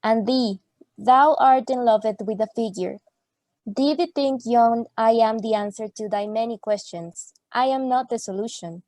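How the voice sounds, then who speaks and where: A woman's voice: a little high, a little slow, darkish tone, dry recording, natural voice. A woman playing an exasperated young student in a radio play, declaiming in mock Shakespearean English. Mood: defiant. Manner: declamatory, archaic phrasing.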